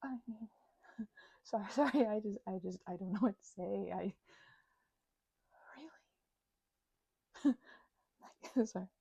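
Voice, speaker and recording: A woman playing a timid, grateful vampire in an intimate audio roleplay, stammering and nervously flustered, with a soft, breathy voice. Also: medium pitch, slow, long pauses, no echo, heavily acted. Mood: tender, awed.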